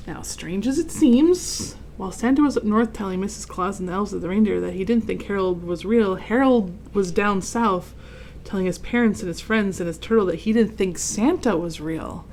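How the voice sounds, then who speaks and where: A woman reads a children's storybook aloud in a medium-pitched voice. She is animated and expressive, adding little asides about the pictures. There is a light layer of background noise on the recording. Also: moderate pace. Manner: animated, explains and reacts to the pictures.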